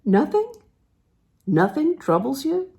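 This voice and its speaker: A woman's voice: sits high, sing-song, takes it slowly, creaky, warm tone, voice pushed up, exaggerated voice. A woman reading the part of an affectionate, playfully teasing man in a storybook novel.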